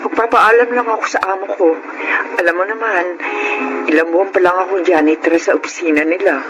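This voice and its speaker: A woman's voice: fairly low pitch, fairly even intonation, brisk, music in the background, natural voice. A woman acting a caring, worried middle-aged working-class mother in a Filipino radio drama. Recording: noisy radio-drama broadcast.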